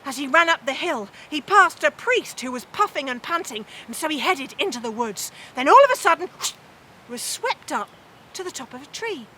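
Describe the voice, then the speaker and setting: A woman telling a humorous medieval tale theatrically, with dramatic pauses and emphasis. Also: high voice, sing-song, sharp tone, poor audio. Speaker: storyteller.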